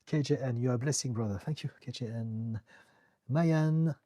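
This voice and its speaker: A middle-aged man from France with a French accent, calmly reading viewer questions and answering them as a therapist on a live stream. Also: mid-range voice, takes it slowly, no room sound, clean studio sound. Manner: calm, reassuring, reads out viewer comments with light laughs. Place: home in the UK.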